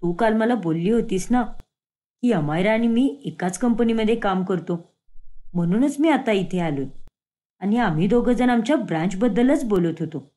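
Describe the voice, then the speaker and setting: A woman voicing a sneaky young husband in a cartoon story, pitching her voice low. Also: expressive, normal speed, dark tone, natural voice. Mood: uneasy. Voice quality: lowered voice.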